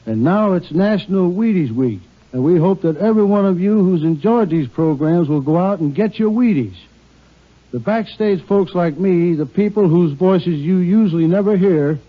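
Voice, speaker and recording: Muffled old radio recording. A high-pitched American man delivers a scripted 1950s sponsor message, sounding earnest and folksy.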